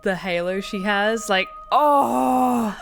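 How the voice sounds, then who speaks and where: A woman's voice: mid-range voice, slow pace, creaky. A woman streamer giving live reaction commentary as she plays a visual novel. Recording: game music behind the voice.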